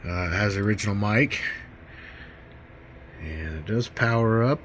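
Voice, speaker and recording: A middle-aged man with a fairly low voice gives a casual, hands-on product demo. He sounds folksy and keen, like a hobbyist chatting to fellow fans. There is some faint noise in the recording. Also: a little slow, a bit bright. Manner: folksy, enthusiastic, informal. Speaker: hobbyist video host.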